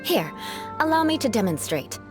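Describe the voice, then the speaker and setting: A woman's voice: on the high side, sing-song, moderate pace. A woman playing a proud, formal young noblewoman in an anime game, with a haughty lecturing manner, over music. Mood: prim, lecturing. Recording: soft music behind the voice.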